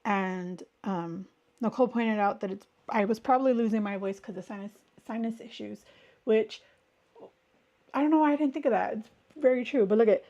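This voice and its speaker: A woman's voice: fairly high pitch, normal speed, dark tone, lo-fi sound. A woman hosting a casual livestream, chatting with viewers in a rambling way while congested from a sinus illness. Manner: chatty, rambling, greets viewers mid-sentence. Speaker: livestream host. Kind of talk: casual chat with live viewers.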